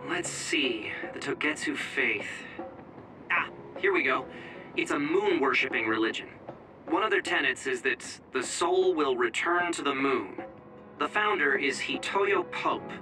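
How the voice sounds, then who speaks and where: High-voiced young male support operator. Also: animated, a little slow, pushed and bright, recorded from a distance, soft background music, low-quality recording. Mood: informative. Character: matter-of-fact helpful young male mission support operator. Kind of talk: English video game dub acting.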